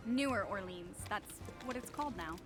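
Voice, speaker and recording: A woman's voice: medium pitch, lively intonation, normal speed, music in the background, lightly acted. A woman voicing a chipper, slightly anxious and prim female robot driver with dry sarcasm in a video game cutscene. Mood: matter-of-fact.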